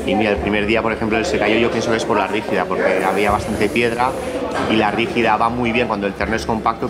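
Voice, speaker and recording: Fast-talking man with a low voice, Spain Spanish. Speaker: mountain bike racer. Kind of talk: casual chat. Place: indoor dining room. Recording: loud music over the voice.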